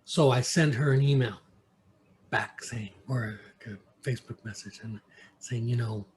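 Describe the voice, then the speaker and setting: A man from New York with an American accent, an interview guest telling stories casually and haltingly, sometimes switching between English and Mandarin. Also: medium pitch, a little mellow. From New York, United States. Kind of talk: casual conversational storytelling. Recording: clear background noise.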